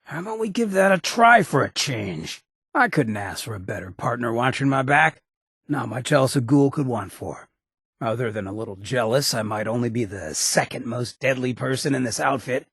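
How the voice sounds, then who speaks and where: An actor playing a swaggering, sardonic ghoul gangster companion in a video game, with a gravelly rasp and a wry, streetwise drawl. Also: male voice, medium pitch, animated, normal speed, a bit bright, dry recording, studio quality. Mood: annoyed, threatening, then pleased, warm. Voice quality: gravelly, raspy.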